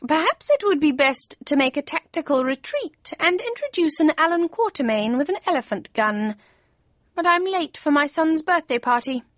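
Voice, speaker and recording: A woman voicing a grinning, mischievous male Cheshire Cat in an audiobook, with playful, genteel, drawn-out speech. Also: sits high, big pitch swings, dry recording, highs cut off, pitched above the performer's own voice. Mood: musing. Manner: drawling, playful, old-fashioned polite phrasing. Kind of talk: audiobook character voice.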